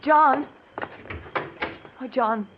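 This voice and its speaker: A woman's voice: high voice, drawn-out words. An actress playing an anxious, desperate doctor's wife and mother in melodramatic, pleading old-time radio mystery style. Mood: frantic. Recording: muffled, noisy old radio recording.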